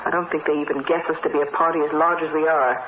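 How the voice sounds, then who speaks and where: An actress playing a brisk, stern, impatient woman who commands refugee survivors in a 1950s radio drama. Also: low voice, level tone, close to an everyday voice. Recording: tinny, noisy 1950s radio recording, roomy.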